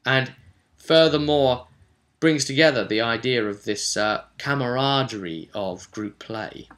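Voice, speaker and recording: A man with a forceful, bright voice at an ordinary male pitch talks through a tabletop roleplaying session. He is an animated game master who rambles, leans hard on key words by repeating them, and voices bits of what players might say. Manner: animated, emphatic, repeats words for stress, acts out imagined players' remarks.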